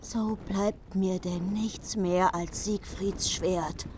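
An actress playing a vengeful bereaved queen in a radio play, speaking in a hushed, intense way. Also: medium pitch, fairly even intonation, slow pace, a little hiss or hum, close to an everyday voice. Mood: bitter.

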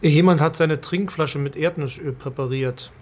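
An actor playing a matter-of-fact police detective in a naturalistic crime drama. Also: male voice, fairly high pitch, moderate pace, close to an everyday voice. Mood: careful questioning.

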